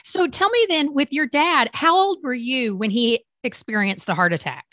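A woman's voice: high voice, lively intonation, moderate pace, a bit bright, no room sound, studio quality. A woman hosting a faith podcast, reading her intro and then interviewing her guest in a conversational way. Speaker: podcast host.

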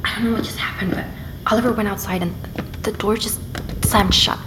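A woman's voice: medium pitch, steady tone, fairly quick, lightly acted. Actress as american woman investigator, curious and a bit tentative, natural delivery, faint noise behind, audio drama. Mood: shaken. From New York. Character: curious, polite American woman investigator recording her search.

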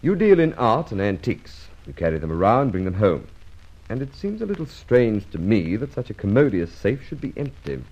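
An actor playing a polite, dogged, suspicious police inspector in an old radio drama, courteous and probing. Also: male voice, fairly low pitch, very animated, darkish tone, breathy edge, lo-fi sound, heavily acted. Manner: courteous, probing, measured phrasing.

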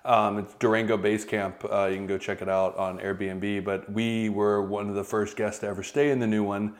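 A man's voice: lowish voice, flat delivery, moderate pace. A man in his thirties co-hosting a podcast, chatting casually and teasing his partner. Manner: teasing, easygoing. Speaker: podcast co-host. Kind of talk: casual chat.

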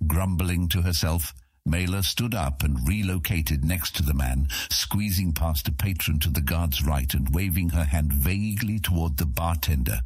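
A man's voice: low-pitched, normal speed, darkish tone, slightly rough, no room sound. A man narrating a scripted fantasy audiobook as a storyteller.

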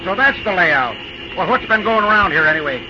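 High-voiced folksy railroad hobo. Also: male voice, lively intonation, brisk. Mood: curious. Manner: folksy, slangy, ungrammatical drawling talk. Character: folksy, plain-spoken wandering railroad fireman, a boomer hobo. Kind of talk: old-time radio drama acting. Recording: muffled, noisy old radio recording.

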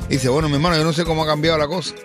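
Higher-voiced man, teasing radio co-host. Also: little pitch movement, very fast. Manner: slangy, teasing, repeats phrases. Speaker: radio show co-host and joke teller. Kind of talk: casual comic banter and joke telling. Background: background music.

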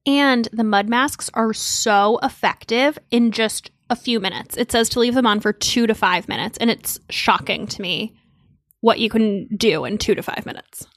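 A woman's voice: fairly high pitch, normal speed, dry recording, studio quality. A young woman from Connecticut co-hosting a podcast, chatting casually and rambling with lots of "like.". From Connecticut, United States. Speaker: podcast co-host. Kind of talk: casual chat.

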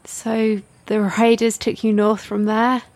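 A woman playing a gentle, attentive companion in a naturalistic audio drama, speaking quietly and asking questions. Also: on the high side, monotone, no echo. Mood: curious, warm. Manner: quiet, unhurried, inquisitive. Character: gentle, attentive companion coaxing a friend's story.

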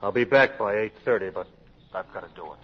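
An uneasy official secretary, played by a man in an old radio play, low-pitched and deferential. He speaks politely and haltingly over a muffled broadcast. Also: lightly acted. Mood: uneasy, apologetic. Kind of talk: 1950s radio drama acting.

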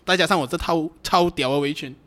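A man's voice: fairly high pitch, quick pace, a bit bright, lightly acted. A man with a Malaysian accent acting a playful, doting boyfriend in an amateur audio drama, in teasing, colloquial speech. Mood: boastful, playful. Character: playful, doting boyfriend who loves cooking.

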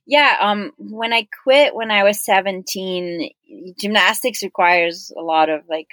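A woman's voice: medium pitch, measured pace, dry recording. A young woman from Slovenia, a guest athlete, talking casually in an interview with plenty of ums. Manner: relaxed, many ums, friendly.

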